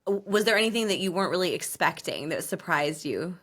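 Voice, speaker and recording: Woman podcast host, slightly low voice, casually asking questions. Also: animated, a little fast, a bit bright. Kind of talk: casual interview chat.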